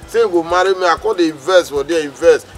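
A naive, lovesick Nigerian man played by an actor pleads in a high-pitched male voice. He is self-pitying and hesitant, whining his way through a drama scene with light music underneath. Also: fast, natural voice. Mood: hesitant, defensive. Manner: plaintive, hesitant. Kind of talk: comedy drama acting in Nigerian Pidgin.

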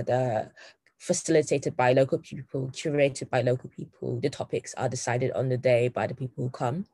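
A woman's voice: extremely low pitch, no room sound. A woman facilitating a community meeting over a video call, speaking with frequent hesitations. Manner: hesitant, many ums.

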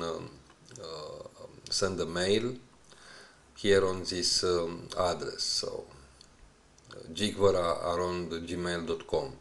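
Deep-voiced, halting male tutorial narrator. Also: a bit bright. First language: not English. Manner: halting, hesitant, searching for words. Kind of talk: unscripted screen-recorded walkthrough.

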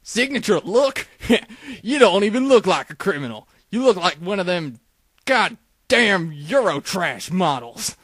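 A man's voice: high voice, very animated, a little slow, a bit bright, exaggerated voice. A man voicing folksy, theatrical small-town men with a Southern US accent in a parody cartoon dub. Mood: mocking.

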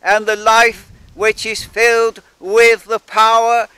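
Older man as a solemn outdoor intercessor, bright high voice, slow and deliberate prayer, dry close recording. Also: monotone, clear, resonant tone, no echo. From England. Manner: solemn, old-fashioned prayer language with thee and thy. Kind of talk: spoken prayer and scripture reading. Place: outdoors in a churchyard. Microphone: webcam or laptop close to face.

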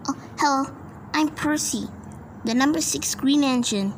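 A woman's voice: high voice, measured pace. A woman voicing an eager, friendly little green tank engine for a cartoon, introducing it cheerfully. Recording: faint background noise.

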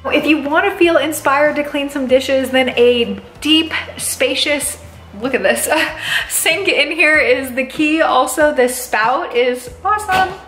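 Bubbly high-voiced woman. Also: slow, a bit bright, faint music behind. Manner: bubbly, enthusiastic, gushing. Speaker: real estate agent. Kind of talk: upbeat house tour vlog. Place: inside a large empty house. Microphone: handheld camera at arm's length.

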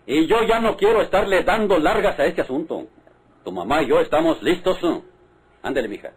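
Bossy middle-aged dad, Mexican accent, very lively intonation, insistent, vintage radio drama. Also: male voice, a little high, brisk, slightly rough, rough recording. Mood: impatient. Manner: hurrying, scolding, insistent.

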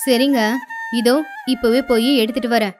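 A woman voicing a gentle, gracious goddess in a storybook cartoon, speaking in a soft, deferential way. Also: a little high, fairly even intonation, normal speed, natural voice. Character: gracious divine goddess wife, gentle and curious. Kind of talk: animated storybook voice acting. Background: flute music.

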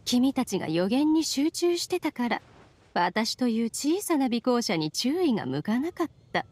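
A woman's voice: a little high, sing-song, moderate pace, darkish tone, slightly rough. A woman playing a composed, sly young female visitor in an anime game, teasing and assured. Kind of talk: Japanese anime game acting.

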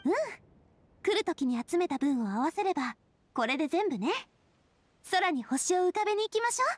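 A woman's voice: very high-pitched, lively intonation, moderate pace, no echo. A woman voicing a confident, plucky young woman adventurer in an anime-style game, assured and persuasive. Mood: purposeful.

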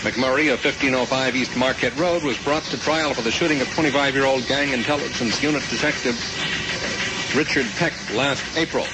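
A man's voice: mid-range voice, fairly even intonation, a little fast, very noisy. A man reading a formal 1970 radio newscast, calling out each dateline emphatically, on an old AM aircheck. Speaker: radio news reader.

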